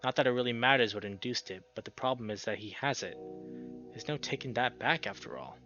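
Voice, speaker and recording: A man reading a visual novel aloud as a playthrough host, expressive, with casual reactions of his own. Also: medium pitch, brisk, dull audio. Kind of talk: reading game text aloud with spontaneous reactions. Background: music.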